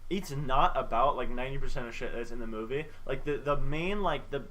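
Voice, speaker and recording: A man with a medium-pitched voice talks casually on a group podcast. His delivery is slangy and loose, full of fillers, with faint noise in the background. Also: brisk, a bit bright. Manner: slangy, filler-heavy, swearing. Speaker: podcast co-host.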